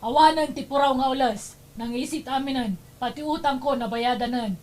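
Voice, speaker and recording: A woman's voice: high-pitched, moderate pace, darkish tone, close to an everyday voice. A woman with an Ilocano accent playing a nosy, muttering character in an audio drama. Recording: distant from mic, music behind.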